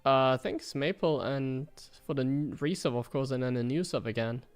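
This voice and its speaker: A man's voice: mid-range voice, moderate pace, clean tone, faint music behind. A young German man with a German accent, German being his first language, streaming and chatting frankly about his own gameplay while criticizing himself in a rambling way.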